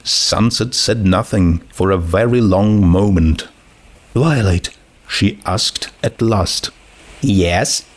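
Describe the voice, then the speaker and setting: A man's voice: deep voice, slow, hardly any pauses. A man reading scripted fiction aloud as the narrator.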